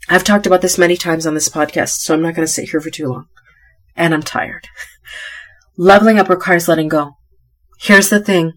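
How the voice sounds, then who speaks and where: A middle-aged woman hosting a motivational podcast, tired and a little stuffy, who talks emphatically and repeats key phrases. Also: low voice. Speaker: podcast host. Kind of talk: motivational solo podcast talk.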